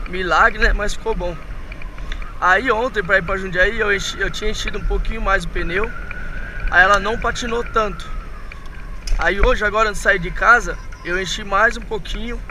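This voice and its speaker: A hoarse man with a Brazilian Portuguese accent talks in a chatty, rambling way, calling his viewers family. His voice sits high for a man. It was recorded outdoors on an action camera on the handlebars, with loud road and wind noise behind him. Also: low-quality recording. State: hoarse from a cold. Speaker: vlogger. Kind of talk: casual vlog talk while riding. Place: outdoors on city streets, riding an electric tricycle.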